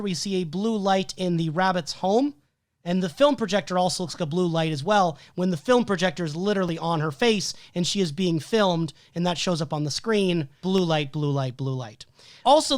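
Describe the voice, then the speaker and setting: A man's voice: sits high, expressive, brisk, dry recording, clean studio sound. A man co-hosting a podcast, reading prepared film analysis in a methodical way while losing his voice. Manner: methodical, listing details with frequent "um" and "like". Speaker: podcast co-host.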